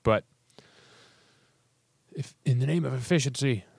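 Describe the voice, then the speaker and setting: A hoarse man co-hosting a podcast, chatting casually in a laid-back, joking way. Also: mid-range voice, measured pace, darkish tone, no room sound, clean studio sound. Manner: laid-back, joking, trails off mid-sentence. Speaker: podcast co-host. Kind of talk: casual chat.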